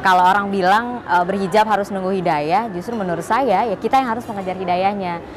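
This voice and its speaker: A woman of thirty, speaking thoughtfully in an interview and pausing to find her words. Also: medium pitch, a crowd in the background. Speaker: interviewee, magazine editor. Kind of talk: personal testimony interview.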